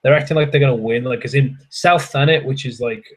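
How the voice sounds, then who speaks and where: A man's voice: mid-range voice, fairly quick. A young man, chatting casually with viewers on a stream, ranting and swearing with frequent laughs. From England.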